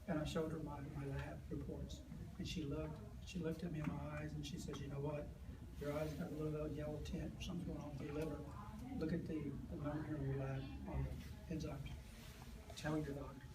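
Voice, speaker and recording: A hoarse man in his fifties, earnest and plain-spoken, telling his story to an audience and acting out the dialogue. His voice sits a little high for a man. The recording is distant and echoey, with steady room noise behind him. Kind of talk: personal storytelling to a live audience. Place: event hall with an audience.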